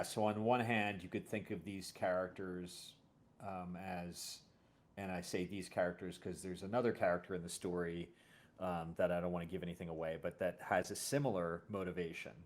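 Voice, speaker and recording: A man hosting a casual conversation as a series creator, thinking aloud in a halting way and often correcting himself. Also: deep voice, steady tone. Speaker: host and audio drama series creator. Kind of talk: casual interview chat.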